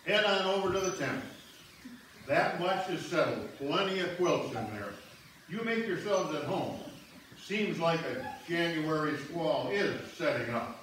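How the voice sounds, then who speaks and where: A man's voice: on the high side, expressive, unhurried, fairly bright tone. A man playing a hospitable outdoorsman in amateur reader's theater, recorded from far off in a church hall. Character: hospitable man ushering women into a tent. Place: church sanctuary stage with audience. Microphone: far camera in the pews. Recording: distant, echoey church hall with faint noise.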